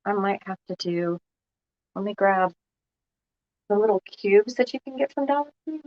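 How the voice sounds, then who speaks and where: A woman's voice: mid-range voice, expressive, moderate pace, no echo. A woman, sounding froggy from a cold, chatting casually and thinking aloud as she walks viewers through a craft project. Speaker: craft vlogger. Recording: dull lo-fi dry recording.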